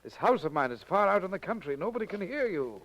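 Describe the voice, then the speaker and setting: An actor playing an impatient, mocking kidnapper and interrogator in 1940s radio drama style, with theatrical, threatening, formal phrasing. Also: male voice, high voice, sing-song, quick pace, background noise. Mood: mocking impatience.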